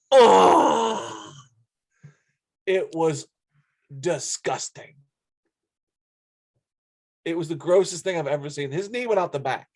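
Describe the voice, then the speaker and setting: A man's voice: on the high side, a little mellow. A man co-hosting a sports podcast, chatting casually and telling sports stories. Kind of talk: casual sports chat and anecdotes.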